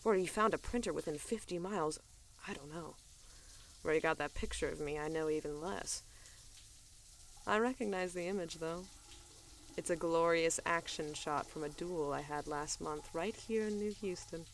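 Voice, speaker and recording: Audio drama lead: tough, cool bounty hunter woman, played by an actress, fairly low register, dry wit, deadpan conversational delivery. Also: moderate pace, slight background noise. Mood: wry, confiding. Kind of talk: audio drama, first-person narration and dialogue.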